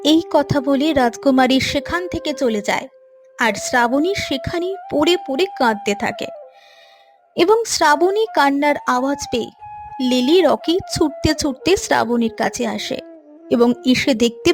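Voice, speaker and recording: A woman with a high voice narrates an animated moral tale. Her delivery is scripted storytelling, with faint music playing behind her. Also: unhurried.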